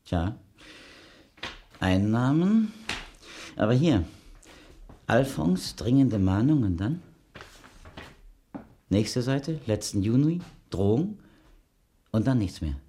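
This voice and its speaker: An actor playing a measured, sceptical senior police detective in a radio crime drama from the 1970s. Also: male voice, mid-range voice, very animated, mellow, a little hiss or hum. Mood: musing, curious.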